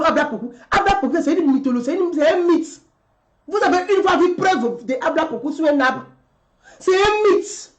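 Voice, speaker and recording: A woman, delivering a rhetorical political commentary and repeating her questions. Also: sits high. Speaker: commentary vlogger.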